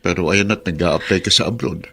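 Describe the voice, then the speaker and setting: A man's voice: sits low, fairly quick, a bit bright, a little hiss or hum. A man playing an injured, self-blaming husband in a radio drama, plaintive and self-pitying.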